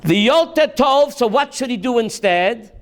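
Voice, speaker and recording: A rabbi teaching a class, reading text slowly and then explaining it in while quizzing his students. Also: very high voice, expressive. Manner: reads Hebrew text aloud slowly, then explains in English, quizzing listeners.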